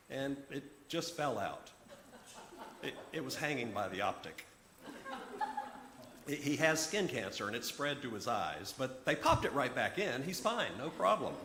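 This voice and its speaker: A man's voice: mid-range voice, fairly bright tone, natural voice. An anxious woman played by a male storyteller stammers and hesitates, forever backtracking on what she says. The tone is flustered, and the recording is roomy with some faint noise behind it. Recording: roomy echo, clear background noise.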